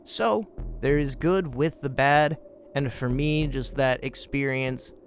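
A man hosting a podcast, giving casual solo film commentary with emphatic, drawn-out phrasing and a gravelly voice. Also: medium pitch, slight background noise. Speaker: podcast host.

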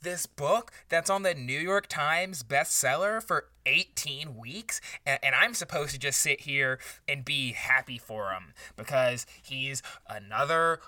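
A performer playing an indignant, jealous book podcaster in an improvised comedy, incredulous and sarcastic, repeating words haltingly. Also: medium pitch, bright, forceful tone, no room sound, far from the mic. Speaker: podcast co-host.

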